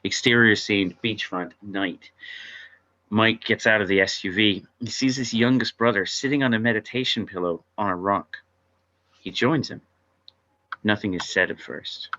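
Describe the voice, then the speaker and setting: A man's voice: lowish voice, moderate pace, bright, forceful tone, lo-fi sound. A man narrating screenplay stage directions at an amateur table read.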